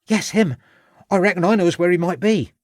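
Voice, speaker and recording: Man voicing a high, cheeky young servant lad. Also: moderate pace, no echo, clean studio sound, lightly acted. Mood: eager, insistent. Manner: colloquial, ungrammatical working-class speech, eager.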